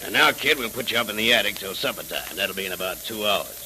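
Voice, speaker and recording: An American actor playing a gruff, folksy gangster henchman with slangy, mock-soothing tough talk in 1930s radio style. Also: lowish voice, quick pace, lightly acted. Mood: mock-reasonable. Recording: noisy, hissy 1930s radio transcription.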